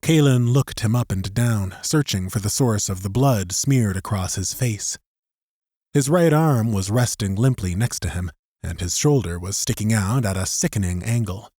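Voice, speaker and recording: Casting: male narrator for dramatic sci-fi audiobook, lowish voice, close and dry studio sound. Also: normal speed, warm tone, slightly rough, no echo.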